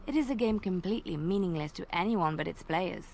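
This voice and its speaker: A woman voicing a graceful female bard in a video game, telling tales in a measured, lilting way. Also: on the low side, creaky, a little mellow, slight background noise. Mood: storytelling. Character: graceful female bard, gentle devout storyteller. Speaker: game character. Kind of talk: voiced game dialogue.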